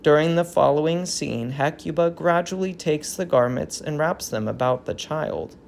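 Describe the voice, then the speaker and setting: A woman's voice: low-pitched, normal speed, creaky, darkish tone, slight background noise. A woman reading stage directions as narrator in scripted play narration.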